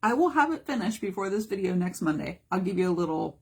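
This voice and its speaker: A hoarse woman, a mixed media art teacher, chatting casually through a live craft demonstration, greeting viewers by name. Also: mid-range voice, big pitch swings, fast talker. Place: home art studio.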